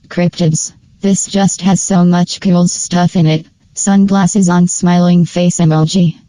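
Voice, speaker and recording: A woman narrates a casual review in a flat, dark-toned voice, recorded very dry and close. Her delivery is scripted but informal, like an opinionated fan ranking favourites. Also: fairly low pitch, no room sound, low-quality recording. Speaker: video creator and narrator. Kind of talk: casual scripted tier-list review commentary.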